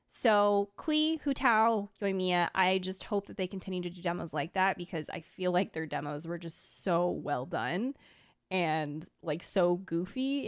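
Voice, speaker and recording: A woman's voice: mid-range voice, dry recording. A woman speaks as a streamer doing casual fan commentary. Her delivery is gushing and enthusiastic, in a loose chatty style. The sound is dry and close, with very little room in it. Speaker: streamer and video creator.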